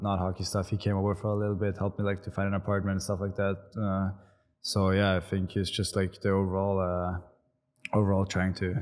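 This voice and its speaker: A young man with an Austrian German accent talks in a lowish voice, feeling his way through each answer with "like" and false starts. His speech trails on in a room with a long, ringing reverb. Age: 20s. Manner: halting, many fillers and restarts. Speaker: hockey player guest. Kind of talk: casual interview answers.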